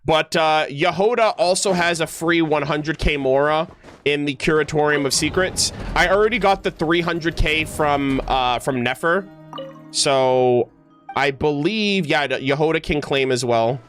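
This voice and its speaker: Male game streamer, slightly high voice, loose slangy chatter full of self-corrections, music underneath. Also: a little slow. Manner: rambling, self-correcting, slangy, animated asides. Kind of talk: casual livestream chat with viewers.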